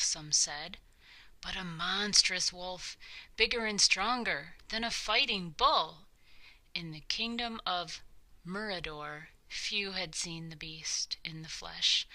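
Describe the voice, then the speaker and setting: A woman narrating a children's story from a script, with dramatic, deliberate phrasing. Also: fairly low pitch, sharp tone, low-quality recording.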